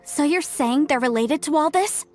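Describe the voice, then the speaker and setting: A woman's voice: high-pitched, moderate pace, soft background music, natural voice. A woman voicing an earnest young archaeologist. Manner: earnest and easily stirred. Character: earnest young female archaeologist, idealistic, emotional. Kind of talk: video game voice acting. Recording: game score playing faintly.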